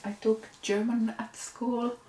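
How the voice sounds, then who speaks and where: A woman's voice: on the high side, flat delivery, slow, dark tone. Finnish-accented woman, casual knitting host, roomy echo and faint hum behind. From Finland. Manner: chatty, with frequent fillers and self-corrections. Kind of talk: casual show-and-tell chat.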